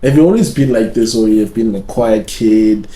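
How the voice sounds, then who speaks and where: A man's voice: lowish voice, moderate pace, warm tone. A man co-hosting a casual podcast, asking questions and agreeing along. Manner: easygoing, chiming in with agreement. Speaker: podcast co-host. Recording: echoey room.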